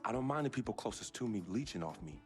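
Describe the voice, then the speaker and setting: A young basketball star in a dramatic game cutscene. His voice is on the low side, earnest and firm, with a loyal, pleading edge. Music plays underneath. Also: big pitch swings, fast, darkish tone. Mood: confrontational.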